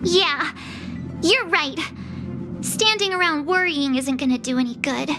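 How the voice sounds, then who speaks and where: Girly young game heroine, super high voice, unhurried, anxious but upbeat, music under it. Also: animated. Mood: resolved, upbeat. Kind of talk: video game story dialogue.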